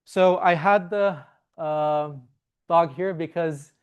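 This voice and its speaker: A young man speaks to a student club in a fairly high, smooth and resonant voice. He explains things earnestly and pauses often on "uh" fillers. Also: fairly even intonation, highs cut off. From Turkey. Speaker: club presenter. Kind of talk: informal presentation to a student club.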